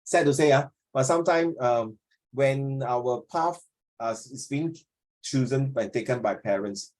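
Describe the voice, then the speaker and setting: A man's voice: mid-range voice, unhurried, a little mellow, low-quality recording. A man in his fifties with a Singaporean accent, teaching an informal class discussion, often repeating himself and checking with questions. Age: 50s.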